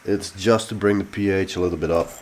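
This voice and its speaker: A man's voice: low voice, steady tone, fast, a little mellow. A man from the Netherlands with a Dutch accent, speaking English as a second language, frankly explaining how he grows peppers in a casual vlog. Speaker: pepper grower and fertilizer maker.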